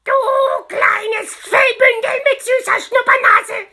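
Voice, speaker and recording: A shrill, squawking parrot girl from a children's storybook, pitched far above any normal speaking voice. She shouts her words out and parrots the ends of her own sentences, in a slow, bright, piercing squawk. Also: full character voice. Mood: chirpy. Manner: excited, shouty. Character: chatty female parrot who parrots words. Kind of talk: storybook reading.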